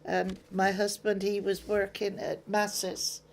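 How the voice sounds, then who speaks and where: An older woman from England speaks with an English accent, unhurried and a little gravelly. She tells her memories plainly in an interview, and slips into the voices of people she remembers. Her pitch sits in an ordinary middle range. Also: level tone, slight background noise. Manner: plain-spoken storyteller, acts out remembered exchanges.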